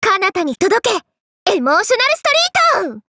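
Young gyaru game heroine, very high voice, wildly animated, playful, exuberant, slangy. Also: female voice, moderate pace, background noise, heavily acted. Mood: excited, playful. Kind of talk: anime mobile game battle voice lines.

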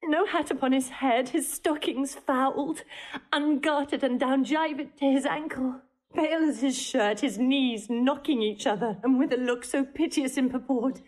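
A woman's voice: high voice, unhurried. An actress playing a frightened, obedient young noblewoman, breathless and distressed, in a classical Shakespearean audio drama.

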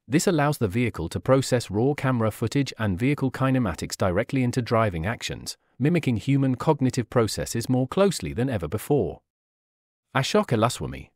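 A man reading a scripted narration on technology in an even, informative way. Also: medium pitch, no room sound, clean studio sound. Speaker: channel narrator.

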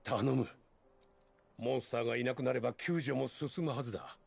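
A gruff, middle-aged slum vigilante boss in a video game, rough and gravelly in tone. He talks quickly and firmly, pressing his requests. His voice sounds husky. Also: medium pitch, fairly even intonation. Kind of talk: Japanese video game voice acting.

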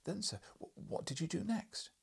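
A man voicing an eager, excitable, awed journalist in an audiobook, hesitant and deferential with a slight stammer. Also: sits high, normal speed, creaky, husky, voice pushed up, exaggerated voice. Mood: eager, curious. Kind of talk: audiobook character reading.

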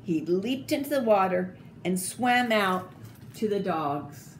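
An older woman reading a children's picture book aloud in an expressive, deliberate, theatrical way, with little asides. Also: medium pitch. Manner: slow, deliberate, theatrical phrasing. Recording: clearly noisy home video recording.

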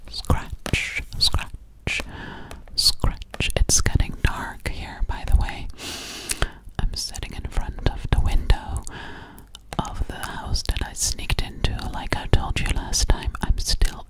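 A young woman performs ASMR in a breathy whisper, right up at the microphone. She speaks very slowly, lingering on words and repeating them, in the role of an alien girl. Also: low-quality recording. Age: young adult. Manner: slow, repetitive, lingering on single words. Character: alien girl hiding in a stranger's house. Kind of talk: ASMR close whispering, word repetition. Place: small bedroom, indoors. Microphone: very close to the microphone.

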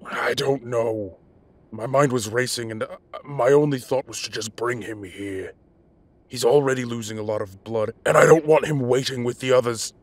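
A man playing a commanding, impatient but protective half-dragon mafia boss in an audio roleplay, with a rough voice and a bossy, scolding manner. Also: medium pitch, moderate pace, lightly acted. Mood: concerned, firm.

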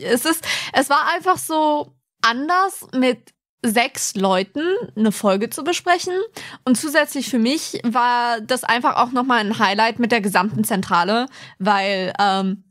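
A woman's voice: on the high side, unhurried, a bit bright. A young woman co-hosting a podcast in casual conversation. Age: young adult. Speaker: podcast co-host.

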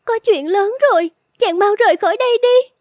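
Very high-pitched young bride. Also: female voice, a little fast, a little mellow. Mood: urgent, anxious. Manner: polite, pleading, old-fashioned wifely speech. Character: gentle young ghost bride, soft-spoken and pleading. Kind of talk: animated folktale dubbing. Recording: music under the voice.

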